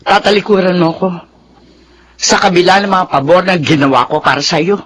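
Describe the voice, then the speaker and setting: A Filipino actress playing a manipulative middle-aged widow in a radio drama, insistent and persuasive. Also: female voice, lowish voice, normal speed, slight background noise, lightly acted.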